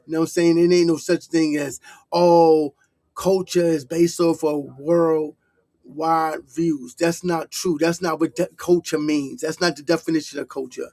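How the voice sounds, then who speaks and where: New york guy ranting, kinda high voice, dry close sound. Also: little pitch movement, measured pace, dark tone, no echo. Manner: heated, repeats "you know what I'm saying". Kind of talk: casual commentary.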